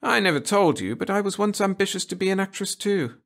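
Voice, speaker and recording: Man putting on a prim, high-pitched lady schoolteacher. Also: expressive, a little fast, creaky, fairly bright tone, exaggerated voice. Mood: prim, proud. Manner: prim, gushing. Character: genteel, modest older woman schoolteacher with theatrical pretensions.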